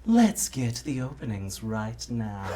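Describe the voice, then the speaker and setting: Wildly varied character voices, swooping pitch. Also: male voice, big pitch swings, slow, a crowd in the background. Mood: theatrical. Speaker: voice actor. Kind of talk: voice acting demonstration.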